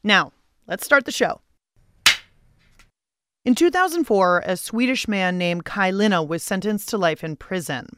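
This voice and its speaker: A woman's voice: mid-range voice, lively intonation, a little slow, long pauses, fairly bright tone, clear, resonant tone. A woman with an American accent hosting a radio show, reading scripted narration in a playful, conversational way. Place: dry studio.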